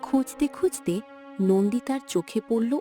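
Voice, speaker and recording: A woman narrator telling a scripted cartoon story in a dramatic, expressive way. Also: a little high, moderate pace, soft background music.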